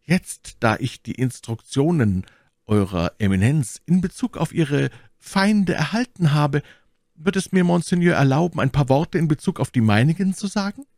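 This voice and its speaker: A man's voice: on the high side, animated, normal speed, a little mellow, no room sound, clean studio sound, pitched above the performer's own voice. A man voicing a scheming, vengeful noblewoman who speaks with formal, insistent deference in a storybook reading. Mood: deferential, calculating.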